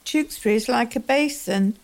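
A woman's voice: fairly high pitch, a little fast, vocal fry. A woman acting in a radio play as a thoughtful townswoman observing her home. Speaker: community theatre actor.

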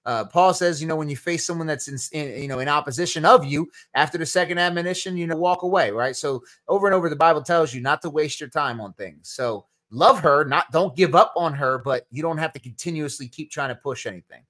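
A man's voice: fairly high pitch, a little fast, hardly any pauses, fairly bright tone. Casual New Jersey man answering chat questions. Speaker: podcast host.

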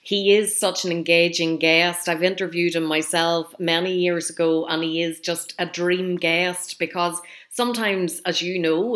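A middle-aged woman with a Northern Irish accent speaks in a low-pitched voice. She talks conversationally, as a podcast and radio host does when interviewing a guest. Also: a bit bright. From north of Ireland.

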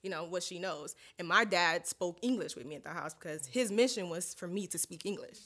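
A woman's voice: on the low side, a little fast, a bit bright. A young woman from Haiti co-hosting a casual podcast chat, earnest and often restarting her sentences. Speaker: podcast co-host.

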